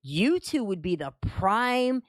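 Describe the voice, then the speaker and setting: A woman with a mid-pitched voice co-hosts a casual chat show. She speaks conversationally, and the recording is dry and close. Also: sing-song, slow pace, no echo. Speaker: show co-host.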